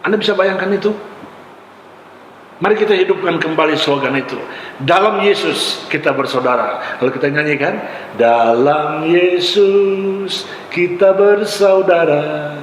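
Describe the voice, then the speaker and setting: A man's voice: fairly high pitch, normal speed, a bit bright. A male pastor from Ambon, Indonesia, preaching a sermon in short, emphatic, exhorting phrases with repetition. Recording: echoey hall with long reverb, faint noise.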